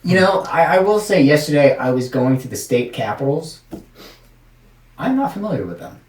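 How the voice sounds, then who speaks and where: A man's voice: on the high side, big pitch swings, normal speed, darkish tone, distant mic. An American man chatting casually and jokily as a talk show host. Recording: echoey room, off-mic, faint noise.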